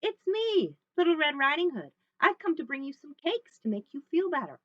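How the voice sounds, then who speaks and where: A woman's voice: high voice, big pitch swings, moderate pace, pushed and bright, dry recording, highs cut off, pitched above the performer's own voice, full character voice. A woman voicing a sweet, trusting little girl from a storybook in an earnest, innocent, sing-song way. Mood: innocent, curious.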